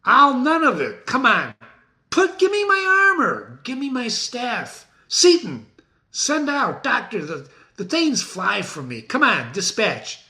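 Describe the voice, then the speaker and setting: A man's voice: very high voice, huge pitch swings, takes it slowly, bright, forceful tone, voice pushed up, heavily acted. A man voicing an agitated, defiant warrior king in an imperious, theatrical Shakespearean reading. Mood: impatient, commanding. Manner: imperious, urgent, theatrical declaiming. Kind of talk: dramatic stage reading of Shakespeare.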